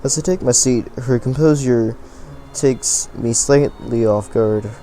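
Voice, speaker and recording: A man's voice: mid-range voice, measured pace, warm tone, slight background noise. A man reading a visual novel aloud, often stumbling and restarting words. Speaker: let's play reader.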